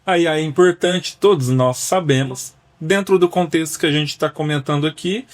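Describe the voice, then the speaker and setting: A man's voice: a little high, moderate pace. A man, hosting a podcast interview, asking questions and summing up with friendly tags. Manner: friendly, prompting, sums up with filler tags. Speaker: podcast host.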